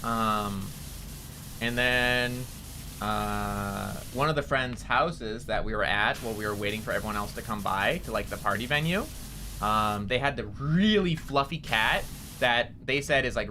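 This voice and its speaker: A man's voice: fairly low pitch, moderate pace, sharp tone, slight background noise. A young man with a nasally voice, rambling casually to his chat as a streamer while playing a game. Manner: rambling, chatty, answering chat.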